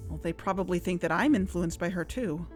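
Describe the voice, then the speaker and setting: A woman's voice: lowish voice, brisk. A woman playing a hesitant, thoughtful podcast investigator. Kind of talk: scripted audio drama dialogue. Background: music.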